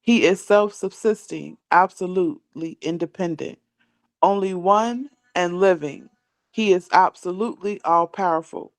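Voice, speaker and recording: A woman's voice: lowish voice, unhurried, dry recording. A woman, a student in a class, reading a religious text aloud line by line.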